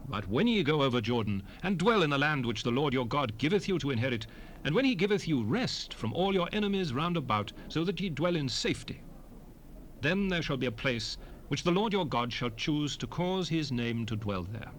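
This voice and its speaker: An actor playing a solemn, commanding prophet addressing his people in a dramatized scripture reading, with measured, declamatory phrasing. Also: a little high, big pitch swings. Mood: solemn exhorting. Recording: noisy 1970s cassette rip, ambient background.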